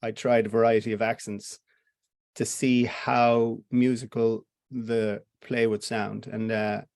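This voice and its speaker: A man's voice: lowish voice, flat delivery, slow pace, vocal fry, a little mellow. An Irish man, a playwright guest, giving long reflective answers in an interview. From Ireland. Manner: reflective, explains at length with restarts.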